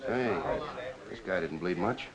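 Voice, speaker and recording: A man's voice: low-pitched, animated, unhurried, lo-fi sound, over a noisy crowd. An American man acting a tough, sceptical police lieutenant in a 1940s crime film, clipped and authoritative. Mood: brisk, probing. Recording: hissy old film soundtrack.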